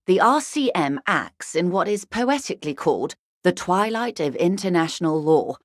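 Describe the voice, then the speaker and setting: A woman's voice: on the low side, expressive, moderate pace, dry recording, clean studio sound, natural voice. An actress playing a wealthy, worldly older woman in a video game, articulate, arch and wryly condescending. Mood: dry, lecturing. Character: wealthy, worldly older woman, urbane, wry, intellectually vain. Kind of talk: video game character dialogue.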